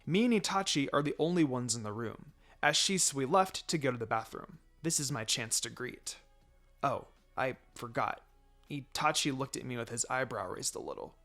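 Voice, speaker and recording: A man narrating a story in the first person in an expressive, dramatised way. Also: mid-range voice, normal speed. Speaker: fan fiction reader. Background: background music.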